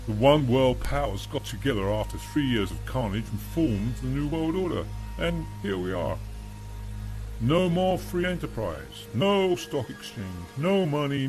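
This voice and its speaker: A man narrates a dystopian radio play in a grim, world-weary voice. His pitch sits in the middle range, and he stresses his lines with heavy emphasis. Faint music plays underneath. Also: a little mellow, poor audio. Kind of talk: scripted radio drama narration.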